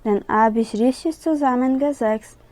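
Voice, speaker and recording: A woman with a southern Brazilian accent reads a short tale aloud, slowly and carefully. Her voice is mellow and a little high. The sound is close and dry. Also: no echo. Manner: slow careful reading, quicker loose retelling. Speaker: interviewee. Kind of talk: reading a story aloud, then retelling it.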